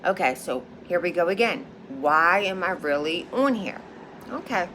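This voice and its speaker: A low-pitched woman with a Philadelphia accent, speaking emphatically and circling back to her points with a steady "okay?". Her casual monologue sits over a clearly audible background hiss. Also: a little slow. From Philadelphia, United States. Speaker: high priestess and psychic.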